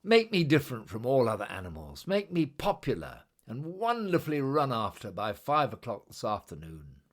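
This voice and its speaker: A man's voice: medium pitch, wildly swooping pitch, moderate pace. A man voicing a proud, demanding old male kangaroo in a storybook, with insistent, exclamatory delivery. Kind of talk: storybook character voice.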